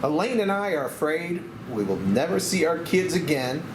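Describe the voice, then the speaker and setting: A man's voice: a little high, sing-song, normal speed. A man playing an anxious, melodramatic former war pilot who doubts himself, in a screenplay table read. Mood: despairing. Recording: echoey room with background noise.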